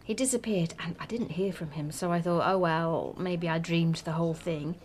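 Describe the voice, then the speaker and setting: A woman's voice: on the low side, moderate pace, a little mellow, lightly acted. An actress playing a romantic, bookish woman of forty-five who talks with breezy enthusiasm. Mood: earnest. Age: 40s. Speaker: friend at lunch. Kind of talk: scripted radio drama dialogue.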